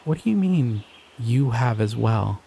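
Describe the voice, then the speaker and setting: A young man voicing a shy, excitable male slime creature in an audio roleplay, talking in an earnest, flustered, giggly way. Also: mid-range voice, unhurried, vocal fry. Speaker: voice actor.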